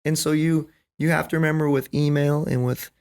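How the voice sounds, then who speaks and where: Middle aged guy on a podcast, gravelly fry in his voice, earnest, kinda preachy emphatic, tells little stories. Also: a little high, fairly even intonation, a little fast, a little mellow. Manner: earnest, emphatic, anecdotal, often checks in with "right?" and "you know". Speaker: podcast guest, basketball coach. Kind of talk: conversational podcast interview.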